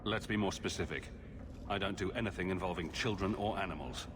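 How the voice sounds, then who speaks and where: An actor playing a cool, quick-witted adventurer hero in a video game, with a dry, teasing delivery. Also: male voice, low voice, close to an everyday voice. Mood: dry, probing. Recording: clear background noise.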